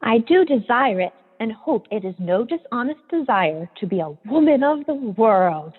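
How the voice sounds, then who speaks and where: A woman playing an eager, lively rustic in a Shakespearean radio play, animated and theatrical. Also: on the high side, normal speed, husky. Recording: muffled phone-like sound, long reverb.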